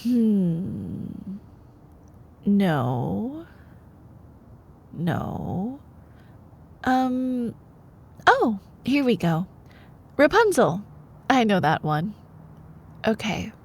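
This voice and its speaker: A young woman playing a sweet, teasing girlfriend in an intimate ASMR roleplay, soft and playful, sometimes dropping toward a breathy whisper. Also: mid-range voice, very animated, very slow, pauses often, exaggerated voice. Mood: playful, affectionate. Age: young adult. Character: sweet, teasing young girlfriend, a sleepy-hours college student. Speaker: ASMR voice performer.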